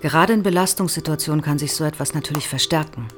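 An actress playing an eager, probing middle-aged research psychologist who explains politely in an audio drama. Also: female voice, low-pitched, quick pace, soft background music, close to an everyday voice. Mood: curious, reassuring. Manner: polite, explanatory, keenly interested. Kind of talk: naturalistic audio drama dialogue.

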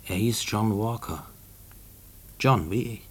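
An actor in a post-war German radio play playing a haunted, fatalistic family man, brooding and halting in manner. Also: fairly low pitch, slightly rough. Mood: musing, troubled. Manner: brooding, halting, often dropping to a whisper. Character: haunted, fatalistic husband and father, a murderer awaiting execution.

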